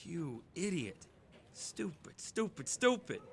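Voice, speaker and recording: A man playing an awkward, exasperated high school boy in an audio drama, easily flustered and hesitant. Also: big pitch swings, slow, a bit bright, slight background noise, heavily acted. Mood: flustered, upset.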